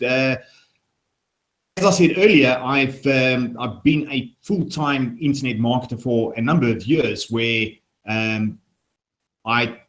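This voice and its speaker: A middle-aged man speaks as a guest on a webinar call. His voice sits at an ordinary pitch, and he sounds upbeat and energetic, wandering through long answers full of ums and uhs. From South Africa. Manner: upbeat, energetic, rambling with many fillers. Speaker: guest, company founder. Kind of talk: webinar interview.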